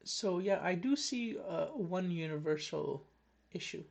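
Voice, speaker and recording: A woman with a low, gravelly voice coaches a trader one on one over a video call. She talks in a frank, chatty, casual way. Her speech is easygoing and direct. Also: animated, a little slow, background noise. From Canada. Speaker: trading coach.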